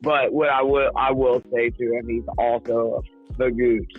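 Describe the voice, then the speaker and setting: A man's voice: medium pitch, flat delivery, measured pace, faint music behind. Cussing man over a tinny call line. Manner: profane, blustery, easily riled. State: strained voice from singing. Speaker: call-in guest. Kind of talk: casual comedic banter. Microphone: remote call.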